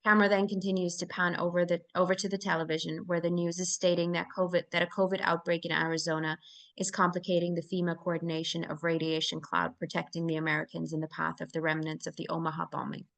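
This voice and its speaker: A woman's voice: on the low side, little pitch movement, fast, vocal fry. A woman reading scene directions as narrator at a live screenplay table read.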